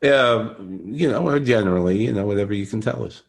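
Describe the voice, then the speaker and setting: An older man hosts a conversational interview, haltingly and often repeating his phrases. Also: lowish voice, gravelly. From Brooklyn, New York. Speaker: podcast interviewer.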